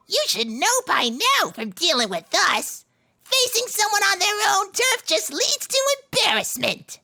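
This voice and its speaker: A woman's voice: very high-pitched, wildly swooping pitch, unhurried, gravelly, sharp tone, full character voice. A woman voicing a stern, taunting cartoon hero with declamatory, scolding delivery in a live comic dub.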